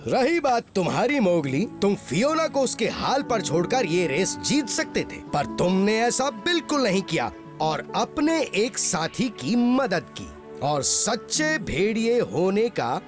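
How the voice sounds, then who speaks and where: Wolf pack leader, cartoon: stern, authoritative, high and expressive, music behind. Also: male voice, unhurried. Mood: stern, solemn. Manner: solemn, commanding. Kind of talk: dubbed cartoon voice acting.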